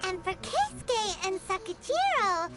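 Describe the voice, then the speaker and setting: A woman voicing a tiny, childlike fairy companion in an anime video game, excitable and curious. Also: measured pace, heavily acted. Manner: childlike, squeaky, speaks of self in third person. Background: loud music.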